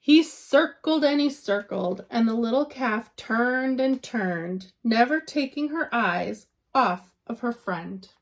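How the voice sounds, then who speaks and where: Woman, higher-pitched voice, expressive storybook read-aloud, dramatic emphasis, drawn-out key words, teacher reading to children.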